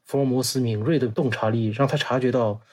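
A man's voice: medium pitch, steady tone, a little fast, darkish tone. A man speaking as a podcast guest, giving a scripted, storytelling narration.

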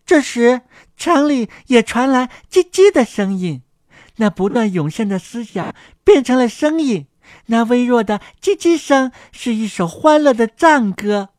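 A woman co-hosting a children's story programme, narrating a fairy tale in an expressive scripted style. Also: fairly high pitch, unhurried, no room sound, studio quality.

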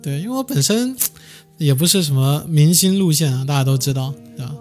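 A man's voice: a little high, animated, a little fast, darkish tone, faint music behind. A man speaking casually as a musician being interviewed, with a modest, easygoing manner.

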